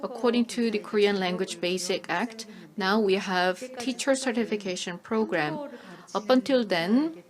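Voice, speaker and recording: A woman interpreting a conference talk live, in measured phrases with hesitant pauses. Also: medium pitch.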